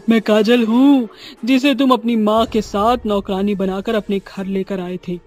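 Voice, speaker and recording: A woman's voice: mid-range voice, steady tone, takes it slowly, a little mellow, soft background music, close to an everyday voice. A woman playing a vengeful ghost witch of a wronged bride, menacing and theatrical, in a horror cartoon. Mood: gloating, menacing.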